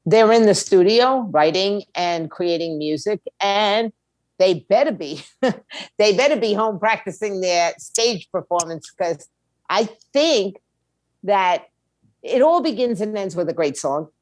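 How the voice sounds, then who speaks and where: A woman's voice: mid-range voice, animated, a little slow, a little mellow, dry recording. A woman giving blunt, opinionated conversational answers as an interview guest, in short emphatic statements. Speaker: guest, music label owner and attorney.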